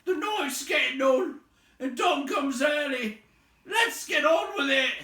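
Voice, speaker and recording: A man voicing a loud, quarrelsome rustic troll who bellows indignantly in rough colloquial speech, in a read-aloud fantasy story. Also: very high voice, expressive, slow, roomy sound, recorded from a distance, voice pushed up, full character voice. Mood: loud, complaining.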